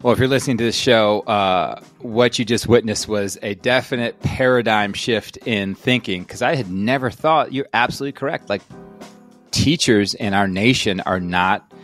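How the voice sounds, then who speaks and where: A man hosts an interview podcast with lively enthusiasm, speaking in a fairly low register. He turns to the audience to frame each question for his guest. Faint music plays underneath. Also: normal speed. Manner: enthusiastic, addresses listeners directly. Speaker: podcast host. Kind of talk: interview hosting.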